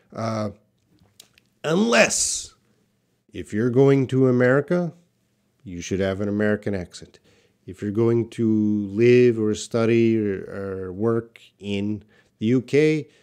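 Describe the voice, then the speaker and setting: Slow-paced man teaching English. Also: on the low side, a little mellow. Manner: deliberate, emphatic, repeats key points for learners. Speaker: English teacher, live Q&A host. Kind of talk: live lesson, answering viewer questions.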